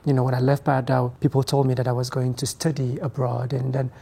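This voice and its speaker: A young man, his tone soft and mellow. He talks reflectively and haltingly, pausing to search for words as an interview guest. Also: mid-range voice, flat delivery, vocal fry, breathy edge. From Ethiopia, raised in France. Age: 20s. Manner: reflective, hesitant, halting phrasing. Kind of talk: conversational interview.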